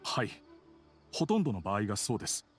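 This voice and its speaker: A man's voice: lowish voice, sing-song, racing, slightly rough, soft background music. A man playing an earnest, polite and devoted middle-aged family man in a video game, a little wistful.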